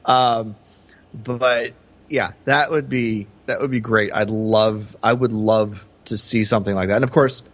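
A man's voice: on the low side, steady tone, unhurried, a bit bright. A male podcast host in casual film discussion, talking emphatically in a conversational way. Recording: slightly dull, band-limited podcast audio.

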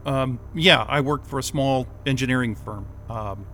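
An actor playing a nervous, disoriented job interviewee in an audio drama, hesitant, halting and defensive. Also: male voice, mid-range voice, pushed and bright, slight background noise. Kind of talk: naturalistic audio drama acting.

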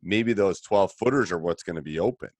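A man hosting a casual podcast conversation as a coach, blunt and animated, his voice jumping up when he makes a point. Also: brisk, no room sound. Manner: animated, blunt opinions, rising pitch when emphatic. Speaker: podcast host and basketball coach. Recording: dry close sound.

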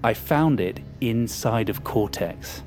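A man's voice: lowish voice, animated, takes it slowly. An arrogant male hacker who craves power. He talks with smug, sardonic confidence and open cynicism, as in a fiction podcast. Music plays under his voice. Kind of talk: audio fiction acting.

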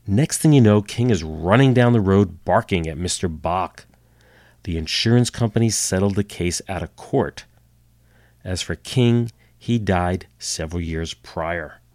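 A man's voice: sits low, darkish tone, dry recording. A man hosting a storytelling history podcast, slightly nasal from a cold, with dry wry asides. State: getting over a cold. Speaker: podcast host. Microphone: home computer setup.

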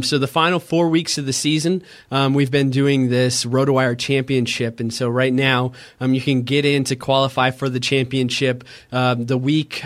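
A young man with a high, squeaky voice co-hosting a casual fantasy sports podcast, excitable and jokey. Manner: excitable, cheers at the game, jokey. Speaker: podcast co-host.

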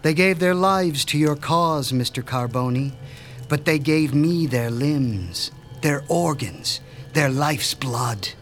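Guy doing a mad scientist doc, slow and grand, bit high voice, faint music behind. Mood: grandiose. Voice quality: measured, grandiose and theatrical. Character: obsessive mad scientist, mob doctor, grandiose man. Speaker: doctor character. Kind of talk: audio drama acting.

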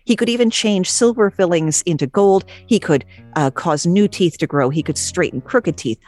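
A woman with a notably low voice shares facts from her notes in a relaxed podcast chat. She drops dry, jokey asides with a deadpan edge. The sound is close and completely dry. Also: moderate pace, no room sound, faint music behind. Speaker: podcast guest.

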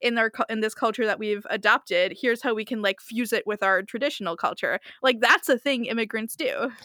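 Bright-voiced young woman, lots of "like". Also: on the high side, dry recording, clean studio sound. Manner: fast, animated talk full of "like" fillers. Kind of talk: casual discussion.

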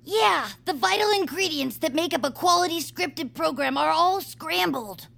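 A woman voicing a wry, dreamy young female peepkin inventor in an audio drama, with a slightly gravelly voice. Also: very high voice, moderate pace, exaggerated voice. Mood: earnest. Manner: wry, deadpan, theatrical.

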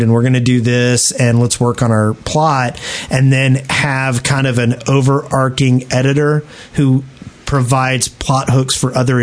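A man hosting a podcast, speaking in a casual, opinionated way with the group. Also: medium pitch. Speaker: podcast host.

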